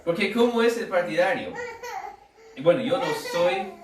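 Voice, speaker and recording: A man's voice: sits high, expressive, moderate pace, recorded from a distance, a crowd in the background. American man preaching in a loose, humorous way; echoey room, off-mic. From United States. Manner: humorous, conversational, with asides and rhetorical questions. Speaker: pastor. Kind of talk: sermon.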